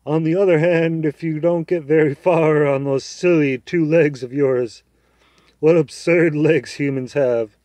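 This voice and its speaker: A man voicing a proud, scornful male talking horse in a storybook reading, loud and lecturing. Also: a little high, steady tone, measured pace, no echo, voice pushed up, close to an everyday voice. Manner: haughty, lecturing, loud and emphatic.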